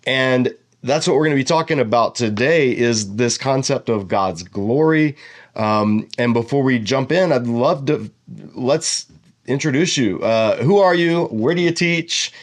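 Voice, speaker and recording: A man's voice: medium pitch, expressive, a little slow. A man hosting an interview podcast, asking questions in a casual, enthusiastic way. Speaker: podcast host.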